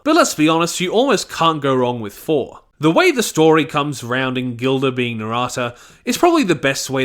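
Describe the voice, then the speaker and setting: A man's voice: fairly high pitch, a bit bright. A man giving a scripted review in an opinionated way, with jokey asides. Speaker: audio drama reviewer.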